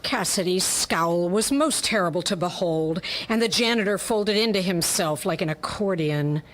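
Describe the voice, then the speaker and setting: A woman's voice: lowish voice, normal speed. A woman reading scripted narration for a radio crime drama as its storyteller.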